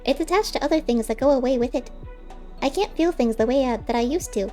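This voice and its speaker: A woman's voice: high-pitched, fast, mellow, soft background music, pitched above the performer's own voice, lightly acted. A woman voicing a wistful, plain-spoken male squirrel with unusual cleverness, striking bargains in an audiobook story. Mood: wistful, earnest. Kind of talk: audiobook character voice.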